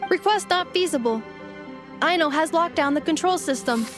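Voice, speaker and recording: High-voiced, precise robot girl. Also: lively intonation, normal speed. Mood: formal, measured. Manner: clipped, formal, analytical. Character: polite, logical female robot assistant, calm and precise. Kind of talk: video game voice acting. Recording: music behind the voice.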